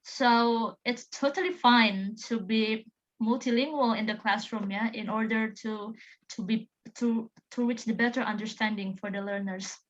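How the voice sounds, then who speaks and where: A woman's voice: fairly high pitch, fairly even intonation, measured pace, muffled recording. A woman, an English teacher whose first language is Indonesian, joining the panel discussion with a friendly, agreeing manner and frequent backchannels.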